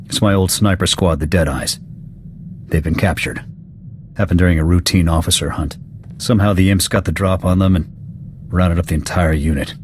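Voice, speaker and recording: An actor playing a gruff, terse soldier in a video game, speaking in short, blunt military sentences. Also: male voice, deep voice, fairly even intonation, moderate pace, pauses often, a little hiss or hum, natural voice. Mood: grim, troubled. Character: gruff, terse male soldier, loyal ex-sniper squadmate. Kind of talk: scripted video game cutscene acting.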